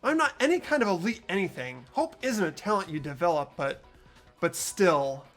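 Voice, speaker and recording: A woman voicing an earnest, determined teenage boy hero in a video game, halting at times and fervent. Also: lowish voice, very animated, soft background music. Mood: defiant, determined. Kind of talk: fan voice acting of a video game script.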